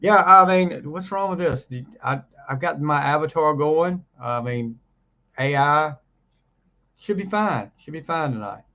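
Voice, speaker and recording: Rambling man host, hoarse from flu. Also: on the high side, lively intonation, a little slow, sharp tone, highs cut off. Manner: rambling, laughs often, trails off mid-sentence. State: recovering from flu, voice deeper than usual. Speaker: podcast co-host. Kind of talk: casual chat.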